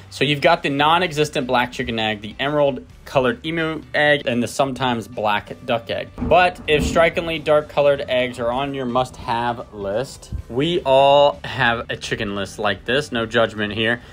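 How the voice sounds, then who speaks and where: A man's voice: medium pitch, fairly bright tone. A man giving a scripted, lightly playful voice-over narration.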